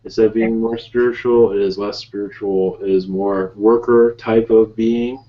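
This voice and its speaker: A man voicing an ancient otherworldly spirit guide in a calm, solemn, halting manner with measured, broken phrasing. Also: on the low side, lightly acted. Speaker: channeler leading a webinar session. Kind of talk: slow improvised channeled speech. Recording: muffled lo-fi webinar call audio.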